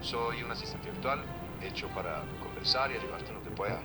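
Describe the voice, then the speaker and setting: A male AI voice assistant speaking neutral Latin American Spanish with a slight Colombian lean, giving measured, calm, explanatory answers. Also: on the low side, soft background music. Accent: neutral Latin American Spanish leaning Colombian.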